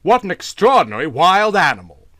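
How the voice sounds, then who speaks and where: A man voicing a flustered, indignant gentleman in a storybook, exclaiming and easily alarmed. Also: sits high, very animated, brisk, dry recording, voice pushed up, full character voice. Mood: startled. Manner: exclaiming, stammering when cornered.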